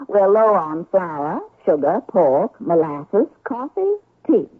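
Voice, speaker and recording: Commanding young frontier wife, husky voice. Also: female voice, fairly low pitch, big pitch swings, slow, full character voice. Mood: brisk, bossy. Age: young adult. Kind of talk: radio drama acting. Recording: narrow-band, echoey old radio recording.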